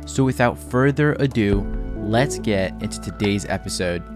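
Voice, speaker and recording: A man's voice: lowish voice, expressive, moderate pace, over music. A man hosting a podcast, reading a scripted introduction and then chatting casually with his guest in an upbeat way. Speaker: podcast host.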